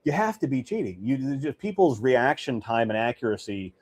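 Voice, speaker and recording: A man in his forties with a deep voice, chatting casually as a guest on a remote call, rambling and correcting himself as he goes. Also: big pitch swings, brisk. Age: 40s. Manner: rambling, self-correcting, easygoing. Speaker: guest bowling ball reviewer. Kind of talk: casual chat.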